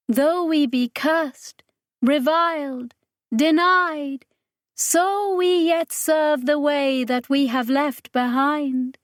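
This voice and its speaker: A woman performs a solemn alien crime lord from a science fiction audiobook. The voice is pitched high and moves very slowly, chanting each formal phrase with grandiose weight. The sound is dry and close. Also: no room sound. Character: solemn alien crime lord with eye stalks, speaking through a majordomo.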